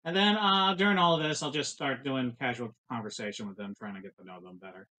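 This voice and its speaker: A man's voice: mid-range voice, fast, sharp tone, rough recording. A man playing a tabletop game, describing actions in a casual, rambling way.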